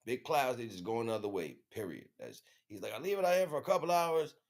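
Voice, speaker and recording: A man's voice: medium pitch, quick pace. A man talking in a casual, rambling monologue, telling stories in slang and often checking that the listener follows. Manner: slangy, animated storytelling with frequent check-ins. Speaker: livestream host.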